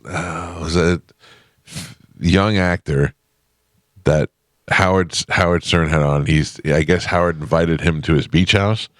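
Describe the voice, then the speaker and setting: A man's voice: low-pitched, fairly even intonation, a little slow, a bit bright, dry recording. A man hosting a morning radio show, chatting casually and telling joking stories into a studio microphone. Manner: joking, storytelling.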